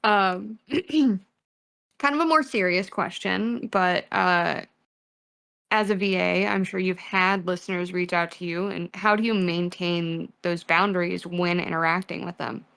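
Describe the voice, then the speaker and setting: A woman's voice: on the low side, measured pace, creaky, fairly bright tone, clean tone. A woman hosting a podcast, asking questions in a casual, playful way, teasing her guest and laughing often. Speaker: podcast host. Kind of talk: casual interview chat.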